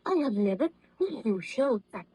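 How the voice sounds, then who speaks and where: An actress playing an anxious, devoted wife with a husky, breathy voice, dramatic in old radio drama style. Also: female voice, fairly high pitch, big pitch swings, moderate pace, warm tone, exaggerated voice, rough recording. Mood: concerned. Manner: dramatic, fretful, formal. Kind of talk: 1930s radio drama acting.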